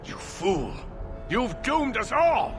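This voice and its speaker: Anxious male mage, fantasy game: extremely high, animated voice over dramatic score. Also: takes it slowly, music in the background, full character voice. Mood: horrified. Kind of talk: fantasy video game voice acting.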